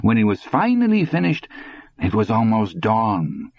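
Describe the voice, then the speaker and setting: A man's voice: fairly low pitch, wildly swooping pitch, a little slow, lo-fi sound, voice pushed up, full character voice. A man doing a gloating old man. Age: older. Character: sly old German man, cold, mocking.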